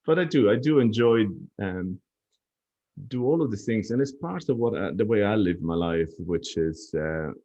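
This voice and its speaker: A man's voice: fairly low pitch, lively intonation, normal speed, warm tone, dull audio. A man chatting as a podcast guest, telling stories in a reflective, easygoing way. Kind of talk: casual chat.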